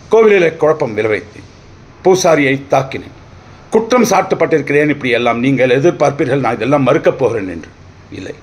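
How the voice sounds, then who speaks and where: A man playing a wronged, defiant accused brother pleading his case in court, declaiming in theatrical old Tamil film oratory with dramatic pauses. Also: a little high. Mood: measured, defiant.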